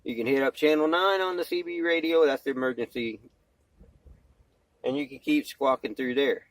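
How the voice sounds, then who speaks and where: A man's voice: medium pitch, moderate pace, no room sound, rough recording. A man talking casually and plainly into a cell phone, in a folksy, slangy, rambling vlog style. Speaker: amateur vlogger and CB radio hobbyist, former truck driver.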